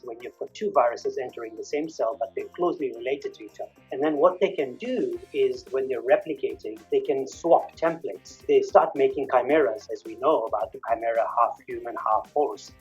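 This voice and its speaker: A man's voice: medium pitch, darkish tone, breathy edge. A middle-aged man from Kenya, a virologist, patiently explaining science with everyday examples over a video call from his home office. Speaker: molecular virologist guest. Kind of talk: explanatory interview chat. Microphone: video call webcam. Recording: dull lo-fi video call audio.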